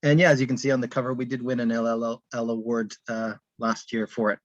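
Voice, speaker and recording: A man's voice: medium pitch, level tone, fast, no echo. A man casually explaining his book as its author, talking from home on an online call. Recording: dull, lo-fi call audio.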